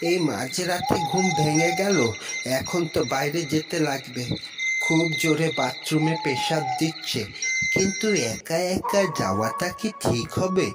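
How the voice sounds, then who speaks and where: A narrator as a naive, animated young boy. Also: medium pitch, measured pace, lightly acted. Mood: hesitant. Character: naive, anxious young village-visiting grandson. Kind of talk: cartoon story acting. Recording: loud music behind.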